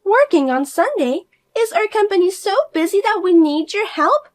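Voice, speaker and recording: A woman voicing a spoiled, arrogant sister-in-law who talks down to everyone in a shrill, scolding way. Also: animated, moderate pace. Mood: pushy. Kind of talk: audio story voice acting.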